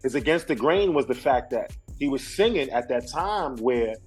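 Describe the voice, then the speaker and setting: A husky-voiced man, speaking from Brooklyn roots as the guest on an interview podcast. He tells stories in a loose, slangy way, often circling back and restarting his thoughts. Faint music plays quietly under his talk. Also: medium pitch, expressive, normal speed. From Brooklyn, New York. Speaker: guest artist.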